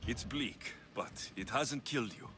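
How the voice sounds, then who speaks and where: An actor playing a battle-hardened young warrior in a game cutscene, earnest, blunt and direct. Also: male voice, mid-range voice, measured pace, close to an everyday voice. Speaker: video game character actor. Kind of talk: dramatic game cutscene acting. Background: background music.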